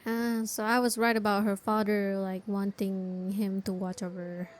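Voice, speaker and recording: A woman's voice: mid-range voice, flat delivery, measured pace, a little hiss or hum. A woman giving casual playthrough commentary as she reacts to a game's story. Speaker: gaming streamer reacting to a game.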